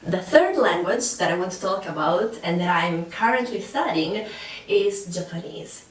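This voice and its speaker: A woman's voice: lowish voice, lively intonation, normal speed, a little hiss or hum. A young woman from Sicily with an Italian accent, chatting casually to camera about learning languages, with many fillers and restarts. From Sicily, Italy. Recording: echoey room, voice a bit far from mic.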